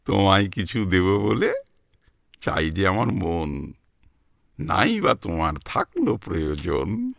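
Wheedling old Bengali husband, wildly swooping voice. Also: male voice, low voice, big pitch swings, takes it slowly, creaky, long pauses, fairly bright tone, dry recording, clean studio sound, exaggerated voice. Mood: sweet-talking. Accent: West Bengal Bengali. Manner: coaxing, stammering, plaintive. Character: sentimental, wheedling elderly husband, clingy and childish. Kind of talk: comic Bengali audio drama acting.

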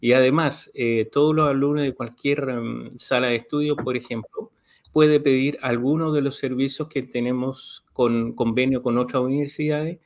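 A man's voice: mid-range voice, normal speed. A middle-aged man with a Chilean Spanish accent, explaining services step by step on a video call, with many "eh" fillers. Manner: steady, detailed, with frequent "eh" fillers. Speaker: university library official. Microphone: video call webcam.

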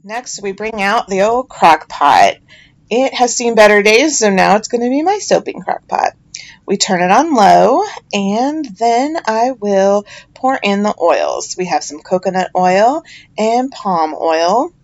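A woman's voice: mid-range voice, lively intonation, unhurried. A middle-aged woman with a chatty, self-deprecating manner, giving a casual voiceover narration as a home soap maker.

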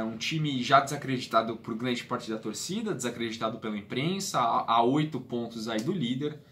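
A man's voice: mid-range voice, a bit bright. A man in his late twenties with a Brazilian Portuguese accent, talking casually and earnestly as a fan vlogger, repeating phrases for emphasis. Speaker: football fan channel host. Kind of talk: casual vlog monologue. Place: small bedroom, indoors. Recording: clear background room noise.

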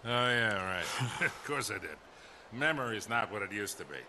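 An American man playing a wily older treasure hunter in a video game, a gruff, salty-tongued storyteller. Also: fairly low pitch, expressive, normal speed, pushed and bright, slight background noise. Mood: wistful, rambling. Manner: folksy, salty-tongued raconteur. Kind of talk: cinematic video game acting. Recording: game music behind the voice.

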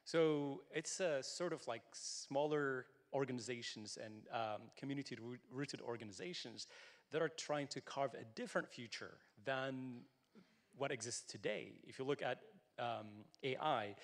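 A man in his thirties from Ethiopia, a tech founder speaking earnestly on a conference panel into a handheld mic. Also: medium pitch. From Tigray, Ethiopia. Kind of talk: panel discussion. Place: large conference hall. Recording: long hall reverb.

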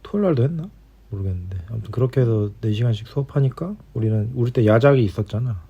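A man's voice: mid-range voice, fast, warm tone, breathy edge. A middle-aged man chatting casually in a rambling, easygoing way, like a podcast host talking before bed. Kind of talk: casual bedtime chat between spouses. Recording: dull lo-fi home recording.

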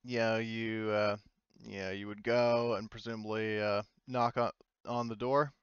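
Clear, low-voiced man as game master. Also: flat delivery, no room sound, highs cut off. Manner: halting, informal, voices characters in his own voice. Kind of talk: narrating a tabletop roleplaying game.

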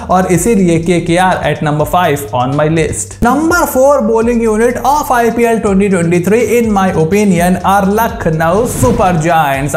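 A young man hosting a cricket analysis video, ranking teams straight to camera and slowing down to stress each announcement. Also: high-pitched, a little mellow. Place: small indoor studio with brick-pattern wall. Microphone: lapel mic, camera at mid distance. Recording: roomy echo.

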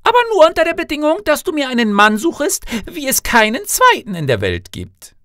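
A picky young fairy-tale princess, played by a man. The voice is pitched high and sweeps about with affected disdain. It is recorded dry and close. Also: wildly swooping pitch, fairly quick, a bit bright, no room sound, full character voice. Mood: reluctant, formal.

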